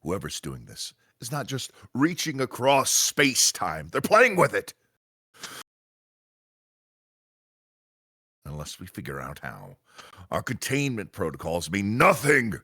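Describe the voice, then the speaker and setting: A man playing a grave commanding agent in a sci-fi radio drama, briefing with deliberate, dramatic emphasis and pauses. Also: fairly low pitch, big pitch swings, bright, forceful tone, breathy edge, no room sound, studio quality, full character voice. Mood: grim warning. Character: grave male commanding agent of a multiverse agency.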